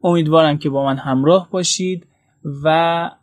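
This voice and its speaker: A man introducing a podcast informally, hesitant and pausing between phrases. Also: on the high side, steady tone. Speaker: podcast host. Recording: music behind the voice.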